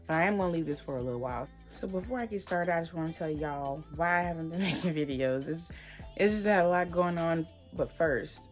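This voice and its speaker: A woman with a slightly hoarse voice, chatting casually and rambling as she reviews hair products. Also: low-pitched, a little fast, faint music behind. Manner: chatty, rambling, lots of fillers. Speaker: hair vlogger.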